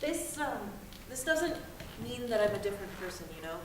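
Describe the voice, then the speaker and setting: A woman's voice: a little high, big pitch swings, fairly bright tone. Young woman playing a hesitant grown daughter. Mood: quiet. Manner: hesitant, halting, then heated. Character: young adult daughter, guarded, anxious, pushing back. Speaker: college student. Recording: echoey room, distant mic, noisy ambience.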